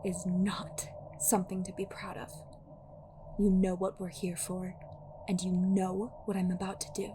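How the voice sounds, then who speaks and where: A woman's voice: mid-range voice, monotone, a little slow, noisy background, lightly acted. A woman playing a cold, commanding cult survivor who interrogates her enemy firmly in an audio drama. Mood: cold, resentful. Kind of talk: dramatic audio roleplay acting.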